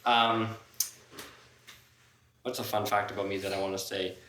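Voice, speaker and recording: Brief: young host from ottawa, bright forceful voice, joking and slangy, roomy echo. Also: male voice, on the low side, flat delivery, measured pace, slight background noise. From Ottawa, Canada. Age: young adult. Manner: playful, laughs often, slangy. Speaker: podcast host. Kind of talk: casual podcast chat.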